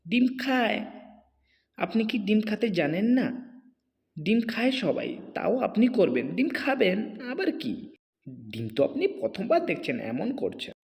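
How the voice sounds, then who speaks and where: A grumpy, boastful cartoon tiger, acted by a man, peddles eggs and scolds whoever he meets. The delivery is mocking and lively in pitch, drenched in long reverb. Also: normal speed, vocal fry, heavily acted. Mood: loud, mocking. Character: grumpy, boastful tiger egg seller, male. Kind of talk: Bengali cartoon character dubbing.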